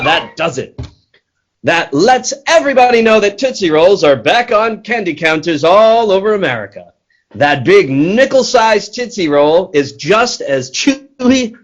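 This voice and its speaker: Man as high, animated old-time radio announcer. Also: a little slow, exaggerated voice. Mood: eager, selling. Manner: bouncy salesman delivery, theatrical. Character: eager, folksy male radio sponsor announcer. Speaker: announcer and circus owner actor. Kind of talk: 1940s radio announcing and acting.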